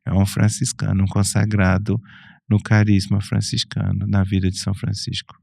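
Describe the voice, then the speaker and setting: A middle-aged man from Brazil, a Franciscan friar and priest, recounting his life in an unhurried, relaxed way, often restarting and correcting himself. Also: lowish voice, gravelly, no echo. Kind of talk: relaxed personal storytelling.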